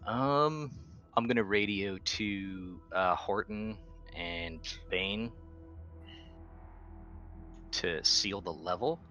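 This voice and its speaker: A man with a low-pitched voice that has a sharp, bright edge. He talks casually as a player in a tabletop roleplaying game, with some music in the background. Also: drawn-out words, pauses often, lo-fi sound.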